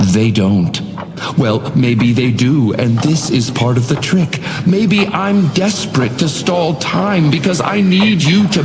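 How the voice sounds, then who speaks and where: An actor voicing a genteel, sinister hotel doorman in a video game, taunting guests in a measured, theatrical monologue with silky menace. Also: a little high, vocal fry, full character voice. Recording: echoing voice over music. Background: music.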